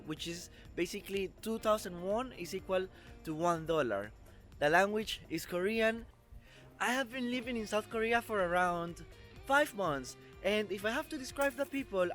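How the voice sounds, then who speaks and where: 20s guy from costa rica, earnest careful english, clear music bed behind. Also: high voice, fairly bright tone. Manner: earnest, careful non-native English with small grammar slips. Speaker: vlogger. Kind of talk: explanatory travel vlog. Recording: music playing underneath.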